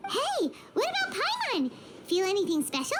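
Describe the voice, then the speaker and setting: A woman's voice: extremely high pitch, huge pitch swings, moderate pace, heavy background noise, heavily acted. A woman voicing a tiny, bold female fairy companion in a game, who speaks of herself in the third person. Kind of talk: video game cutscene dub. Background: game score music.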